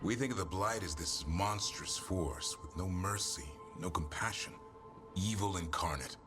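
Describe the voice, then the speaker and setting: An actor playing a thoughtful, world-weary adventurer in a video game, speaking seriously and reflectively. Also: male voice, deep voice, measured pace. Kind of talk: dramatic video game dialogue. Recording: music behind the voice.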